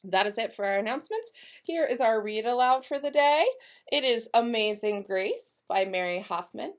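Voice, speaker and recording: A woman's voice: a little high, lively intonation, moderate pace. Woman reading a picture book aloud to children. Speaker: elementary school educator reading aloud to pupils.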